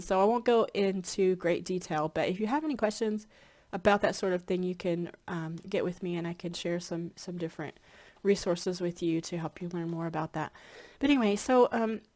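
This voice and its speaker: A woman's voice: lowish voice, moderate pace, darkish tone. A woman, a spiritual hypnotherapy practitioner, chats casually to her viewers, a little congested with a slightly scratchy voice, rambling with many ums and restarts. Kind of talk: casual chat to camera.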